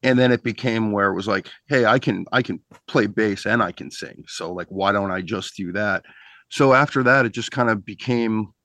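Rambling middle-aged man, low voice. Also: normal speed, fairly bright tone, no echo. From Pennsylvania. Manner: rambling, many restarts and fillers. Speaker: band singer and bassist. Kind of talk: casual interview chat.

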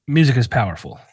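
A man's voice: mid-range voice, slightly rough, dry recording, studio quality. A man in his forties from northeastern Pennsylvania, hosting a podcast and telling self-mocking stories in casual chat. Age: 40s. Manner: animated, self-mocking storyteller who swears freely. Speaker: podcast host.

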